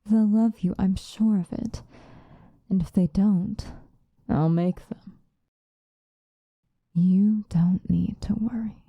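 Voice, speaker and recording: A woman playing a possessive, obsessive female vampire lover in an ASMR roleplay, sinister yet tender, intimate and breathy, often slipping into whispers. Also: medium pitch, fairly even intonation, no room sound, muffled recording. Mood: tender, commanding. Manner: intimate, breathy, drawn-out seductive delivery, often dropping into whispers.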